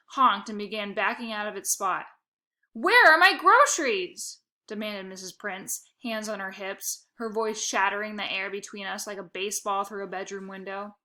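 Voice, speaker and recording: A woman reading a children's novel aloud in the first person, expressive and playful. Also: medium pitch. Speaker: narrator.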